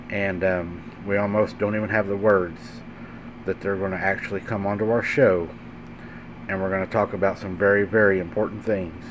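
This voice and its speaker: Male horror storyteller, lowish pitch, dramatic and deliberate delivery, slightly muffled lo-fi recording. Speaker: host and narrator of a paranormal story show. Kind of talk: dramatic scary-story narration. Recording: dull lo-fi sound with faint background hum.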